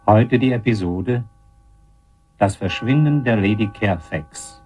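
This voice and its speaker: A man introduces a radio drama in a low voice. His delivery is measured and deliberate, with faint guitar music behind him. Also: a little mellow, muffled recording. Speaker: announcer.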